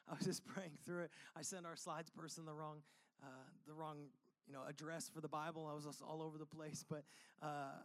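A young adult man preaching a sermon as pastor, casual and energetic, with many fillers, sniffly and slightly losing his voice from a cold. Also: on the high side.

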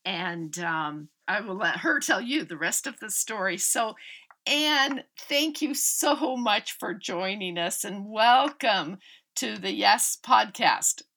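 A woman's voice: mid-range voice, animated, slow. Woman hosting a faith podcast. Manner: warm, gushing, with frequent fillers. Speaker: podcast host. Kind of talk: podcast interview.

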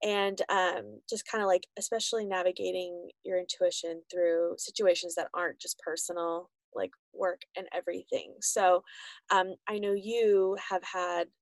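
A woman's voice: fairly low pitch, flat delivery, normal speed, no room sound, low-quality recording. A woman interviewer speaks up close in a dry, dead room. Her questions come out casual and reflective, full of small fillers as she thinks aloud. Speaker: podcast host, interviewer.

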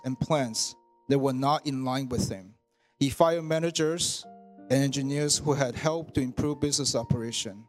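A man, reading his personal testimony at church in an earnest, measured way. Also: medium pitch. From Taiwan. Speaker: church member giving testimony.

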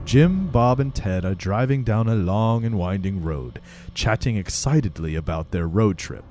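Man putting on a low, solemn radio announcer. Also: expressive, moderate pace, a little mellow, soft background music, lightly acted. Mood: solemn, theatrical. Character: formal, solemn radio theater announcer and narrator. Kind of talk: radio play narration.

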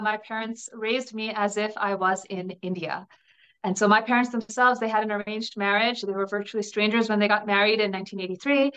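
A woman's voice: medium pitch, a bit bright, clear, resonant tone, poor audio. A woman in her thirties from New Jersey, a guest in an interview, speaking earnestly and chattily about her upbringing. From New Jersey, USA. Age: 30s. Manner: earnest and chatty, with frequent restarts and small laughs. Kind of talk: candid personal interview.

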